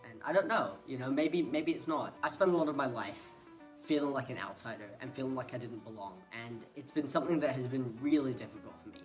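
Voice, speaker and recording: A man's voice: mid-range voice, poor audio. A young man from Hong Kong, talking casually to camera in a hesitant, rambling way and often restarting. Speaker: vlogger. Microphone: phone. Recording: phone recording with faint music behind.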